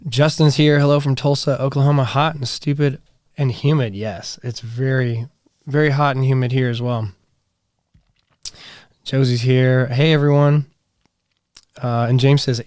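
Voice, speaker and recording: An American man with a slightly hoarse voice, chatting casually and informally as he hosts a live hangout and reads out comments. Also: mid-range voice, darkish tone, no room sound, studio quality. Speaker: livestream host. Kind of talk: casual live chat, reading viewer comments.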